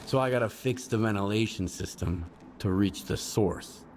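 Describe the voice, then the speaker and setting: A man acting a hardened video game mercenary, blunt and distrustful. His terse lines come in a lowish voice with faint noise behind them. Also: normal speed, lightly acted. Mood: terse, focused.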